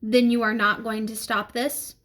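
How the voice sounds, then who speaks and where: A woman's voice: fairly high pitch, level tone, a bit bright, close to an everyday voice. A woman as a stern, formal female schoolteacher. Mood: measured, firm. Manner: formal, measured, admonishing.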